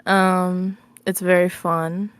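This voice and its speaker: Giggly low-voiced woman chatting casually. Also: flat delivery, slow, clean tone, no echo. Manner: giggly, informal, hesitant phrasing with many likes. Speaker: interview guest, ASMR roleplay creator. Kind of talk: casual interview chat.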